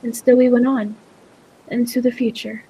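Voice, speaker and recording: High-pitched, anxious woman acting a time traveller's companion. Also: level tone, moderate pace, dark tone, slightly rough, rough recording. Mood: awed narrating. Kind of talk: 1940s-style radio play acting and narration.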